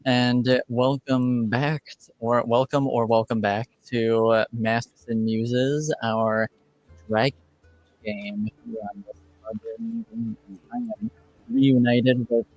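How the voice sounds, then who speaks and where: A man hosts as game master in an unscripted introduction. He takes his time, hesitating and rephrasing, with an average pitch. Soft music sits behind him. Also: fairly even intonation, rough recording. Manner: halting, self-correcting. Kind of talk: unscripted livestream show introduction.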